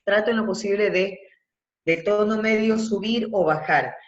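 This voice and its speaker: A woman's voice: medium pitch, normal speed. A woman with an Ecuadorian accent coaching voice and breathing in an online webinar, explaining with emphasis. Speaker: university professor and voice coach. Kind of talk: teaching breathing and vocal exercises in a webinar. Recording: muffled, echoey webinar audio.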